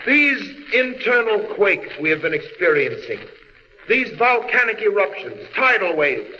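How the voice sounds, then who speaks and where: An actor playing a grave alien scientist who foretells doom, declaiming solemnly in 1930s radio drama style. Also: male voice, high voice, sing-song, measured pace. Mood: grave foreboding. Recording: dull vintage radio recording, long reverb, distant, hiss.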